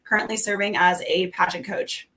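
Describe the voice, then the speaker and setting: Upbeat woman near forty, bright voice, self-assured. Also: fairly low pitch, level tone, normal speed. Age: 30s. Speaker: contestant.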